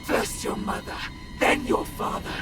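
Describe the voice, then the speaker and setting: A man voicing a cruel, accusing spirit in a video game nightmare, goading and hissing. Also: natural voice. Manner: slow, cruel, goading, swinging from hiss to shriek. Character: menacing, accusing tormenting spirit in a nightmare vision. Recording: echoing, distant, loud music behind.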